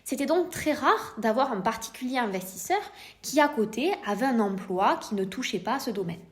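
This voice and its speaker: A woman's voice: a little high, expressive, normal speed. A woman with a French accent hosting a podcast, explaining in a scripted, didactic way while addressing the listener informally with rhetorical questions. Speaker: podcast host, private investor.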